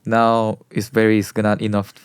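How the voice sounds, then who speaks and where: Interview guest: Korean-accented man, slightly low voice, hesitant non-native English, frequent laughter. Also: completely flat delivery, clear, resonant tone, no echo, clean studio sound. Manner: halting, searching for English words, laughs often. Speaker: medical checkup center manager, guest. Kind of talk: casual interview chat.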